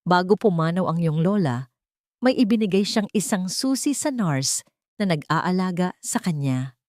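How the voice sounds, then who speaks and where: A woman's voice: lowish voice, normal speed, no room sound, lightly acted. Woman voicing a grave, loyal male bodyguard, dry sound. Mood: serious, urgent. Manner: earnest, grave, steady. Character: loyal, serious male bodyguard, devoted protector.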